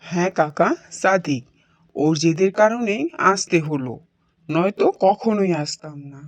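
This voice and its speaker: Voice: mid-range voice, expressive, unhurried, dry recording, close to an everyday voice. A voice actor playing a polite, earnest grown son in a cartoon. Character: grown son returning home, earnest man. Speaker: cartoon character voice.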